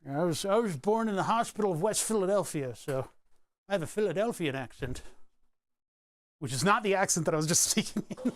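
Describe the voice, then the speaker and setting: Brief: a rambling hobbyist streamer, a man with a slightly high voice, chatting at his workbench. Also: expressive, brisk, no echo, clean studio sound. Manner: rambling and chatty, answers chat, breaks into laughs. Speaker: electronics streamer. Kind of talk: casual live-stream chat while building a circuit.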